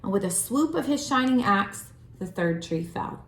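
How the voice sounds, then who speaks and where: A woman reading a children's storybook aloud as a storyteller, with expressive, deliberate phrasing. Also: mid-range voice. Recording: roomy, echoing room sound.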